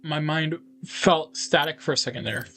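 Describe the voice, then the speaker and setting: A man's voice: fairly high pitch, normal speed, sharp tone, faint music behind. A man reading visual novel dialogue aloud in character, as a gaming video host. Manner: acts out characters' lines while reading.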